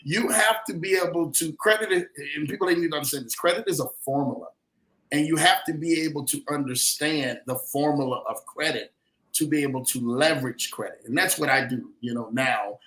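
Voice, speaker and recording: Echoey, higher-voiced motivational man. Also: fairly quick, fairly bright tone. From New Orleans, United States. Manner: preacherly, emphatic, addresses listeners directly. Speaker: podcast guest, credit coach and entrepreneur. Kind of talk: motivational talk in a podcast interview. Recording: roomy, echoing sound.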